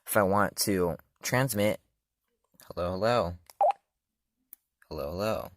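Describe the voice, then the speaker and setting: A man's voice: low voice, slow, very noisy. A man casually demoing a product, talking loosely with many fillers and self-corrections. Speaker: product reviewer. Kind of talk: casual hands-on demo.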